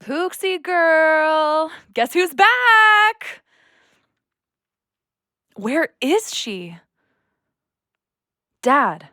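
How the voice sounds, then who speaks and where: A woman's voice: high-pitched, very animated, extremely slow, long pauses, pushed and bright, dry recording, studio quality, heavily acted. A young woman playing a world-weary, easily exasperated daughter in an audio play, blunt and sardonic. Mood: tentative, affectionate. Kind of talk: naturalistic audio play acting.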